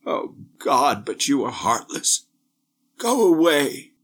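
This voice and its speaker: A man's voice: medium pitch, sing-song, slow, a bit bright, pitched above the performer's own voice, full character voice. A man voicing a lonely, weary old man of eighty who pleads in despair. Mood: anguished.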